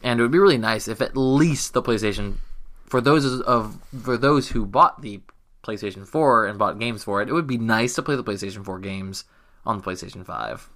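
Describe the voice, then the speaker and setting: A man's voice: on the low side, moderate pace, fairly bright tone. A man chatting casually as a podcast co-host, with a jokey, self-deprecating manner.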